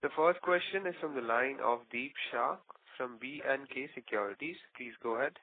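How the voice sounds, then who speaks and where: A man's voice: medium pitch, moderate pace. A man working as a conference call operator, giving formal, courteous scripted instructions. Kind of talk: scripted procedural announcements. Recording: narrow conference phone line.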